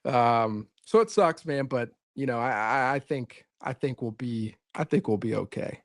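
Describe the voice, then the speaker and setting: A man's voice: medium pitch, lively intonation, a little slow, dry recording. Male co-host, earnest and rambling, casual sports talk, dry close mic. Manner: earnest, rambling, repeats words, says "man" often. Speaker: co-host, coach.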